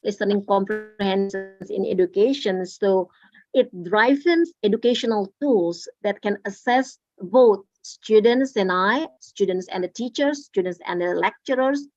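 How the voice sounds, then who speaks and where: A woman's voice: medium pitch, a little mellow, no echo, lo-fi sound, soft background music. Hesitant woman speaking on a video call. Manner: halting, many fillers and restarts. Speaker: workshop speaker. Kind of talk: unscripted answers at an online workshop.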